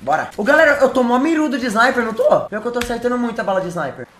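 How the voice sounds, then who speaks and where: Excitable young Brazilian streamer, roomy. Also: male voice, extremely high pitch, very fast, a little hiss or hum. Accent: Brazilian Portuguese. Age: young adult. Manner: excitable, joking, bursts into shouted mock-English boasts. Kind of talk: live gameplay commentary. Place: bedroom with gaming chair. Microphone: headset.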